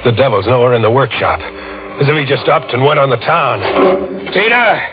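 An actor playing a haunted, excitable wax sculptor in a 1940s radio horror play, brooding and intense as he tells his eerie tale. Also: mid-range voice, fairly quick, background noise, close to an everyday voice. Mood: accusing. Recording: muffled old radio recording with loud music.

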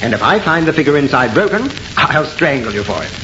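Scornful gentleman, dramatic pitch swings. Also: big pitch swings, fairly quick. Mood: scornful, commanding. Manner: condescending, ornate wording. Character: skeptical, commanding gentleman, condescending and suave. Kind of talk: old-time radio horror acting. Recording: muffled, noisy, echoey old radio recording.

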